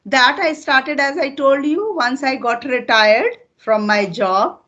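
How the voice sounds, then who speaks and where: Retired Indian teacher, older woman, Hindi native, patient and unhurried, slightly higher voice. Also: fairly bright tone, muffled recording, a little hiss or hum. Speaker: retired teacher and channel host. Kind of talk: casual interview conversation.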